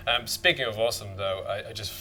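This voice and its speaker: A man's voice: fairly low pitch, fast talker, pushed and bright. A man co-hosting a tech show, laid back and joking with his colleague. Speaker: show co-host. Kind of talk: casual chat.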